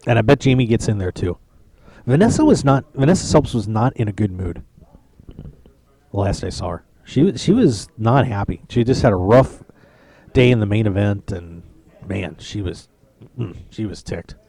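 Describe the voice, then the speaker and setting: A man's voice: on the low side, animated, moderate pace, pauses often, dark tone, no room sound. A man hosting a poker radio show, chatting casually and joking from a lounge at a poker tournament. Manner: chatty, joking, rambling with restarts. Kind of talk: casual live-stream chat.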